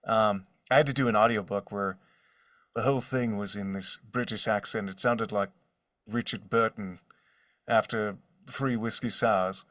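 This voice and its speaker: A man with a lowish voice talks casually as an interview guest. He has a joking manner, and he sounds set back from the microphone. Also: fairly even intonation, a little slow, a bit bright, studio quality. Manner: joking, slips into mock accents to demonstrate. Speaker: voice actor guest. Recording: roomy echo, distant from the mic.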